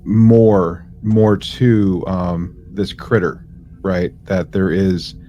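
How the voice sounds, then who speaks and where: A man co-hosting a podcast, giving a casual recap in a halting way, piecing his phrases together. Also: low-pitched, fairly even intonation, warm tone, dull audio. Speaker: podcast co-host.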